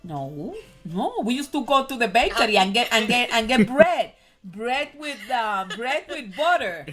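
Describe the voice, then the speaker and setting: Puerto rican lady, middle-aged, chatty guest telling stories, lots of "you know", soft music behind. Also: a little high, unhurried. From Puerto Rico. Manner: animated storytelling, many fillers. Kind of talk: casual chat.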